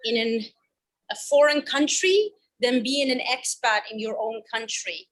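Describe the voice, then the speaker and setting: A woman in her thirties from Finland speaks on a video call, her voice sitting a little high. She tells her story candidly and at a measured pace, with frequent fillers and restarts. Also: a bit bright, low-quality recording. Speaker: webinar panelist. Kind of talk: conversational storytelling.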